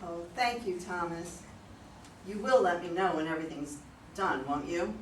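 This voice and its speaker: A woman's voice: on the low side, moderate pace, close to an everyday voice. A woman in a staged play reading as an anxious, talkative client, earnest in manner. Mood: grateful, worried. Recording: distant mics, echoey room, faint noise.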